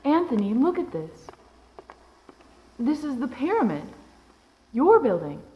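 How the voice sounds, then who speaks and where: A woman's voice: sits high, sing-song, a little slow, roomy sound, lo-fi sound. A woman playing a stern, insistent, bitter mother in an audio drama. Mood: intrigued. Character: commanding, bitter mother, scholarly and accusing.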